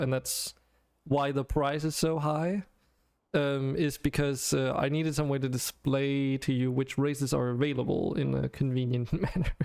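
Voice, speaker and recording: A man's voice: mid-range voice, gravelly, a little mellow. A young Danish man chatting to his viewers while streaming a game, rambling with many fillers and restarts. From Denmark. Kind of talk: casual game stream talk to chat.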